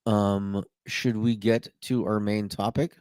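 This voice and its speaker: A man's voice: lowish voice, little pitch movement, takes it slowly, darkish tone, smooth, no room sound, studio quality. A man co-hosting a podcast in casual chat, eagerly explaining how a card game works. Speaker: podcast co-host.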